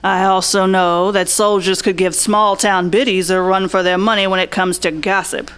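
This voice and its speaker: A woman's voice: medium pitch, steady tone, moderate pace, a bit bright, clean tone, lightly acted. Noir audio drama private eye, female performer: clean resonant voice, blunt, observant, slangy, tough. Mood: probing, blunt. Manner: tough, slangy, plain-spoken. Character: blunt, observant hardboiled 1940s private eye Pal Vargas. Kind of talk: noir radio drama acting with inner monologue.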